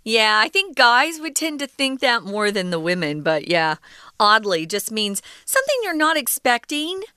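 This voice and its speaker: A woman co-hosting an English lesson podcast, explaining words patiently in a relaxed conversational way with fillers. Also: fairly high pitch, moderate pace, clear, resonant tone, dry recording, clean studio sound. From United States. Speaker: co-host teaching English.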